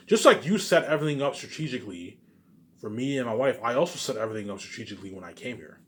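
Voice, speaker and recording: A man's voice: medium pitch, lively intonation, fast talker. A man giving a rambling, unscripted vlog monologue, emphatic and repetitive, circling back over his phrases and swearing at times. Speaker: vlogger.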